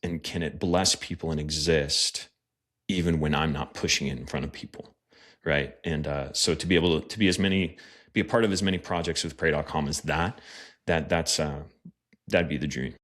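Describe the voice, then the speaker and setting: Guest pastor, male, very low voice, thoughtful and earnest, casual podcast delivery. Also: moderate pace, fairly bright tone. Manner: earnest, reflective, with fillers. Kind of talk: casual interview chat.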